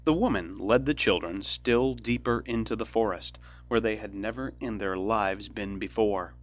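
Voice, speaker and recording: A man narrating a fairy tale as a storyteller, in a measured, expressive storybook delivery. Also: fairly low pitch, highs cut off. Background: music.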